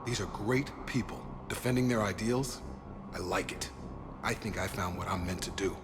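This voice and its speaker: A man's voice: low voice, normal speed, noisy background, lightly acted. A man playing a loyal, earnest ex-soldier in a sci-fi video game, plain-spoken and sincere. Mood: reflective, sincere. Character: loyal, earnest male ex-soldier guarding refugees. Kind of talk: cinematic video game dialogue.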